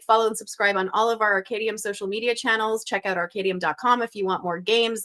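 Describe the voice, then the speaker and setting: Woman stream host from Canada: bright, crisp tone, easy chatter, reads guesses aloud, upbeat. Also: mid-range voice. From Canada (Montreal). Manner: upbeat, chatty, thinks out loud. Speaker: host of a live crossword stream. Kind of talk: casual live-stream chat, reading viewer guesses aloud.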